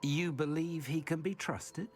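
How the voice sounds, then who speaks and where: A man playing a serious schoolmaster wizard in a video game, formal and measured, with a husky, creaky voice. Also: a little high, faint music behind, close to an everyday voice.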